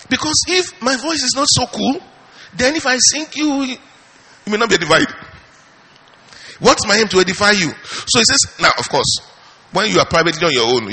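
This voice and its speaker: A man's voice: fairly high pitch, sharp tone, breathy edge, poor audio. A man preaching a charismatic sermon as pastor, asking the congregation rhetorical questions and punctuating his points with hallelujahs.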